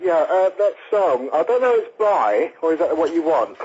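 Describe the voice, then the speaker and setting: A man's voice: fairly high pitch, very animated, moderate pace, a little mellow, husky. A man phoning in to a radio show, chatting casually in a vague, hesitant way. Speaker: phone-in caller. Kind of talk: casual chat. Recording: dull, noisy phone line.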